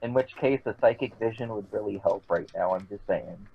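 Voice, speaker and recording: A man's voice: lowish voice, fairly quick, gravelly, a little hiss or hum. A man chatting casually as a player in a tabletop role-playing game. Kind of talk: casual chat. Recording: dull, lo-fi voice chat.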